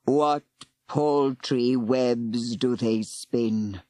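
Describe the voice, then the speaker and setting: A narrator voicing an ancient giant male serpent that speaks slowly and imperiously in old-fashioned words. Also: warm tone, dry recording, studio quality. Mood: scornful. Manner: slow, archaic, booming speech.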